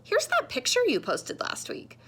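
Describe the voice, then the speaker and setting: A woman voicing a friendly, earnest talking computer screen that gently scolds, in a children's picture book. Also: high-pitched, wildly swooping pitch, moderate pace, voice pushed up, exaggerated voice. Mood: earnest, warning. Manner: earnest, explanatory.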